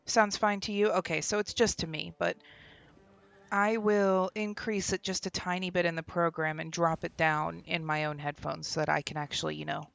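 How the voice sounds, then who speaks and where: A woman's voice: lowish voice, steady tone, moderate pace, vocal fry, a bit bright. A young woman streaming a game from home, chatting casually and laughing, often trailing off mid-sentence, on a desk microphone. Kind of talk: casual gameplay stream chat. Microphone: desk microphone with headphones.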